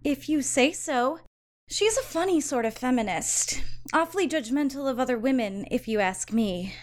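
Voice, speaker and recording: A woman's voice: high voice, expressive, moderate pace. A young woman playing a catty, condescending rich socialite in an audio drama, with a snide, gossipy, sarcastic drawl. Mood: catty, needling. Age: young adult. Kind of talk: audio drama acting, conversational.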